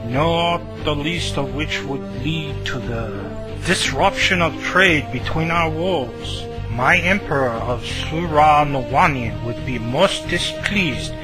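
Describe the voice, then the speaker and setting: A man's voice: fairly high pitch, slow, hardly any pauses, music in the background, poor audio. A man playing an aged, courteous foreign magician with a formal manner in a fan radio play. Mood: deferential. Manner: formal, deferential.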